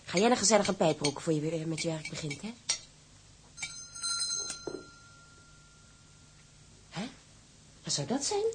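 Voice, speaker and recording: An actress playing a gentle, devoted young seaman's wife in a radio drama, with a tender manner. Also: deep voice, animated, fast talker, background noise. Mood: caring. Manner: tender, domestic.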